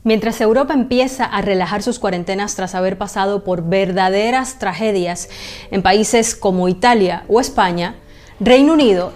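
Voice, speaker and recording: A woman's voice: medium pitch, a little mellow. Woman reading a news report. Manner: measured, formal. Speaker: news correspondent.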